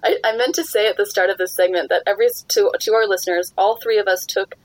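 A young woman hosting a podcast, chatty and quick to laugh as she steers the talk back on topic. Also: medium pitch, steady tone, dry recording, low-quality recording. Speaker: podcast host. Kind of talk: casual chat.

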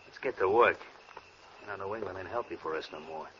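An actor playing a cocky, impatient armed robber in a 1940s radio crime drama, tough and slangy. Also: male voice, sits low, fast, fairly bright tone. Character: cocky, impatient gangster sidekick, wisecracking male armed robber. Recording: muffled old radio recording with hiss.

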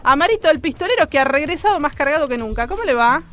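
A woman's voice: high-pitched, lively intonation, quick pace, fairly bright tone. A woman with an Argentine accent hosting a comedy radio show in a playful, teasing way. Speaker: radio host.

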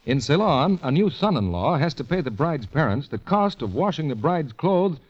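A man's voice: on the high side, sing-song, moderate pace, darkish tone. A man working as a radio announcer, reading scripted 1940s advertising copy on a dull vintage broadcast.

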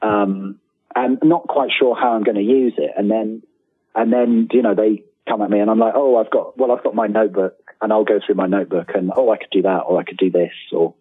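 Husky low-voiced man on a tinny line. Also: fairly even intonation, fairly quick. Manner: rambling, self-deprecating, trailing restarts and quoted asides. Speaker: interview guest, freelance writer. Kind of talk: casual interview chat. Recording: tinny phone-like line with room echo.

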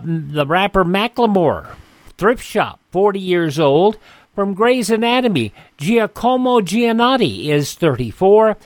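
A male radio host with a slightly high voice, recorded dry and close. He announces informally and in measured phrases, pausing between items. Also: no echo.

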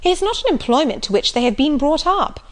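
A woman voicing a gentle, good-humoured middle-aged mother who speaks calmly and graciously. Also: high voice, fast, pitched above the performer's own voice. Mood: gently amused.

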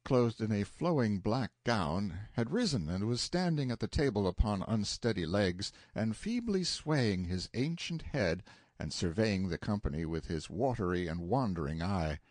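A man reading a classic novel as an audiobook narrator, in an expressive, scripted literary style. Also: on the low side, normal speed, dry recording, studio quality.

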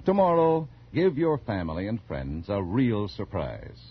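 Very animated male radio ad announcer. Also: lowish voice, a little slow, breathy edge. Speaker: commercial announcer. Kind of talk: 1940s radio advertisement read. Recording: muffled 1940s radio broadcast.

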